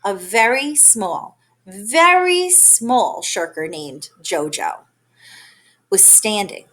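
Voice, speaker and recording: A woman reading a children's storybook aloud as an expressive narrator. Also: medium pitch, takes it slowly. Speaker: storybook reader.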